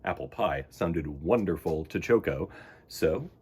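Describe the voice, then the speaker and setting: A man hosting a storytime for children, speaking to young viewers in an expressive, theatrical and earnest way. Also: deep voice, a little slow, slightly rough. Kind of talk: expressive read-aloud and talk to young viewers.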